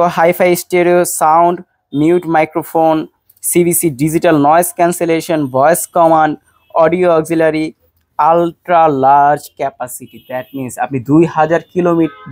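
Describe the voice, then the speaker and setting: Higher-pitched Bangladeshi salesman, dry sound. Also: darkish tone, no echo. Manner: salesman-like, addresses viewers directly with rhetorical questions. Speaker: shop presenter selling a product. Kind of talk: promotional product pitch to camera.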